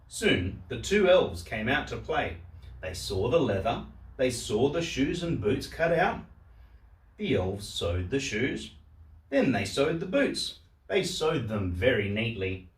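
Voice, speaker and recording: A man reading a storybook aloud for children in a simple, deliberate way. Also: medium pitch, big pitch swings. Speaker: storyteller, host of a story channel. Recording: roomy echo, distant microphone.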